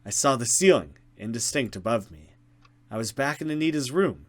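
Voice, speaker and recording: A man's voice: mid-range voice, sing-song, moderate pace. A man hosting a let's play, reading horror narration dramatically and adding casual commentary.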